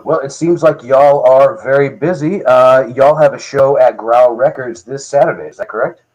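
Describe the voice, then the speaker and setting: A man hosting a podcast, reading scripted bits and interviewing guests in a deadpan, profane comic manner. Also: medium pitch, normal speed, poor audio. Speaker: podcast host. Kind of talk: scripted show monologue and band interview.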